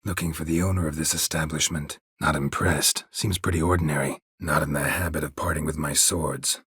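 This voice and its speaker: A man playing a gruff, stoic, sardonic monster-hunting mercenary in a video game, terse and deadpan with a gravelly voice. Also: extremely low pitch, a little fast, no echo, studio quality, heavily acted. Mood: dry, guarded. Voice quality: gravelly, husky. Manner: terse, deadpan, clipped sentences. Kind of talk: video game dialogue acting.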